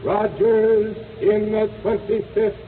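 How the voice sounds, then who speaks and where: A man giving a drawn-out title call in the style of a 1930s radio serial, through long echoing reverb. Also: high-pitched, level tone, faint music behind. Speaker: show announcer. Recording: echoing, tinny old radio recording.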